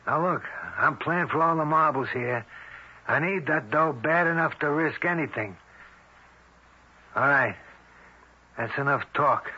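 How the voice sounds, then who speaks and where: An actor playing a cocky, scheming crook in a radio drama, with rough, slangy, persuasive talk. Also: male voice, a little high, sharp tone, exaggerated voice. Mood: pushy. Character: scheming tough crook, cocky, desperate for a fortune. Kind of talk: 1970s radio drama acting. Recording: dull vintage radio recording.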